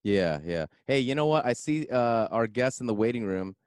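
A man hosting a casual podcast, relaxed and jokey, often ending lines with "man". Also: medium pitch, moderate pace, smooth. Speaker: podcast host. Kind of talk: casual chat.

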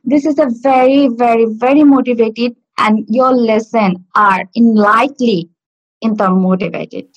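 A woman from Bangladesh with a fairly high voice, speaking English as a second language after Bangla. She talks in a halting way, with many fillers and restarts. She is heard on a video call from home. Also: highs cut off. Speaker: learner. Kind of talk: casual video-call interview. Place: home in Stockport.

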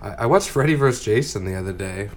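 A man's voice: on the low side, animated, fast. A man co-hosting a podcast, chatting casually and jokingly about movies in a rambling way, getting over a cold. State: lingering cough from a cold. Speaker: podcast co-host.

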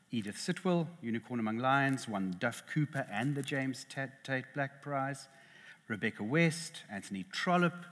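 A man's voice: medium pitch, a bit bright. A middle-aged South African man chairing a literary panel, self-deprecating and hesitant, with many restarts. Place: lecture hall. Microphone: headset. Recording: reverberant hall.

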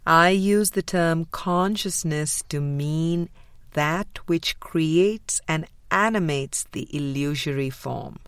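A woman narrating a spiritual audiobook in a measured, deliberate way with frequent pauses. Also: low voice, no echo, clean studio sound. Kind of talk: scripted spiritual book reading.

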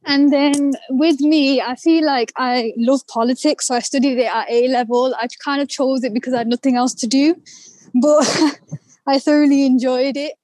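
A woman's voice: high-pitched, steady tone, normal speed, darkish tone, dry recording. A young woman from the north of England, a student guest chatting casually on a podcast. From Manchester, England. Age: young adult. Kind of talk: casual chat.

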